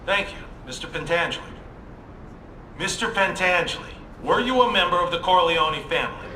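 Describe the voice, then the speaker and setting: An insistent senator in a crime game cutscene. His voice is high and bright with a sharp edge, echoing in a roomy hall. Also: lively intonation, moderate pace, slight background noise. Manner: insistent, formal questioning. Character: pressing senator questioner man. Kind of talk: video game cutscene acting.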